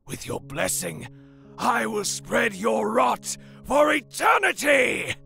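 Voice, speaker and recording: A man voicing a fanatical, rotting sorcerer in a screechy, gravelly, theatrical voice for a dramatic audio story. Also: very high voice, big pitch swings, slow pace, sharp tone, faint music behind, pitched above the performer's own voice, full character voice. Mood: pleading zeal. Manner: theatrical, chanting and proclaiming. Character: fanatical rotting male sorcerer cultist craving immortality.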